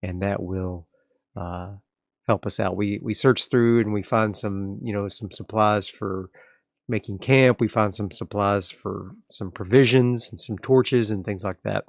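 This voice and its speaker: A man's voice: lowish voice, unhurried, vocal fry, no echo, studio quality. A man playing a solo tabletop game, casually narrating and thinking aloud as he sets up his next area. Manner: relaxed, rambling, frequent restarts.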